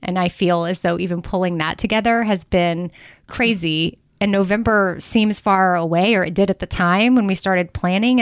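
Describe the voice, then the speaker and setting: A woman in her forties hosting a podcast, chatting casually, laughing often and slowing down when she reads something aloud. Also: on the low side, clear, resonant tone, no echo, studio quality. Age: 40s. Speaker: podcast host.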